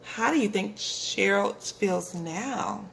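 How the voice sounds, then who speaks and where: A woman preschool teacher reading a storybook aloud to young children, expressive and deliberate. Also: medium pitch, vocal fry, a little hiss or hum, low-quality recording. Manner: expressive, deliberate, asks young listeners questions.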